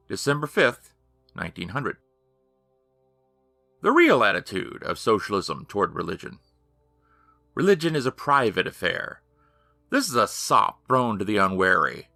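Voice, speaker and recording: A man's voice: medium pitch, bright, forceful tone, dry recording. A man narrating an audiobook in a formal, emphatic, declamatory manner.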